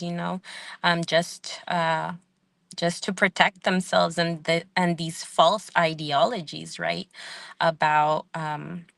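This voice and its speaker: Woman guest, low gravelly voice, dry close mic; measured, reflective, fillers and "right?" tags. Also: a bit bright, no echo. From Salvadoran family, living in the United States. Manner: thoughtful, frequent "um" and "right?" tags. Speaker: guest, community organizer and professor. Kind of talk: podcast interview.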